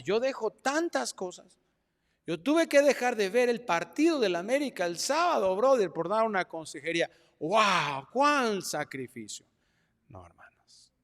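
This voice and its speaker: A middle-aged man with a Mexican accent preaching a sermon as pastor, animated, moving from quiet asides to loud mocking voices. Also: high-pitched. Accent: Mexican Spanish. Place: church hall. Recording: long hall reverb.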